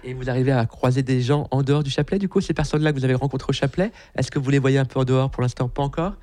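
A man conducts quick interviews with volunteers for a radio station. His delivery is rapid and his tone dark and mellow. His questions are friendly and familiar. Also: medium pitch, no echo. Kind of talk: vox-pop interviews.

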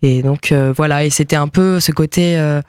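Musician guest, man whose first language is Japanese, hesitant, slightly high voice, dry sound. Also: steady tone, moderate pace, smooth, no room sound. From France, near Nantes. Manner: hesitant, many fillers and restarts. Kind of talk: interview answers.

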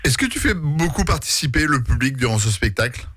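A man interviewing a guest on the radio in a measured, familiar way. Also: mid-range voice, sing-song, fairly bright tone, husky, no room sound.

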